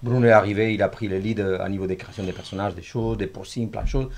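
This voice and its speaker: A man, a guest artist with as his language, telling work anecdotes in a halting, digressive way. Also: on the low side, fairly even intonation, darkish tone, a little hiss or hum. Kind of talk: animated interview anecdotes.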